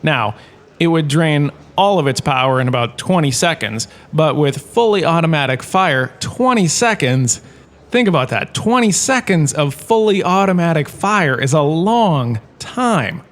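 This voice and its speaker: A man's voice: fairly high pitch, animated, unhurried, fairly bright tone. A man playing an enthusiastic, quirky lecturer, a washed-up star, in a scripted comedic audio drama, chatty and full of showy asides. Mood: enthusiastic, informative.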